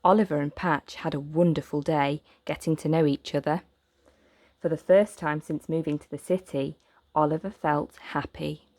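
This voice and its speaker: A woman reading a children's story aloud as narrator, with gentle, expressive, deliberate phrasing. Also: deep voice, no echo.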